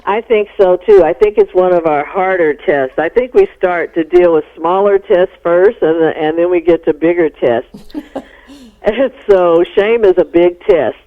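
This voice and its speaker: A woman's voice: lowish voice, unhurried. A middle-aged American woman explaining things as a psychologist guest in a radio interview. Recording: narrow-band phone-like radio sound.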